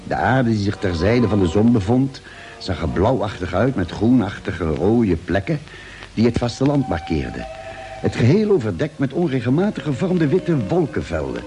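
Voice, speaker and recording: An actor playing a calm, genial ship's doctor who keeps a diary, articulate and measured, in a radio drama. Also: male voice, on the low side, soft background music. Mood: measured, wondering. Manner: measured, articulate, expressive storytelling. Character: ship's doctor and diarist, calm, genial male space traveller. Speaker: narrator and actor. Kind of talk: Dutch radio drama narration and dialogue.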